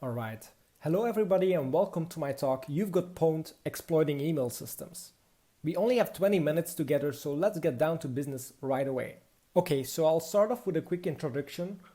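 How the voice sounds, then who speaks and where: A young man with a Belgian accent delivers a prepared conference talk in a mellow, warm voice. His pitch sits somewhat high for a man. He explains step by step and often pauses on "uh" fillers. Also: lively intonation. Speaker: conference speaker.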